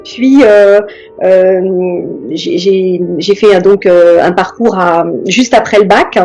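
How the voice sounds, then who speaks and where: A middle-aged woman from France talks slowly and reflectively as an interview guest, often pausing and starting over. Her voice is mellow and sits in a middle register. Faint music plays in the background. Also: medium pitch, rough recording. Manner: hesitant, many pauses and restarts.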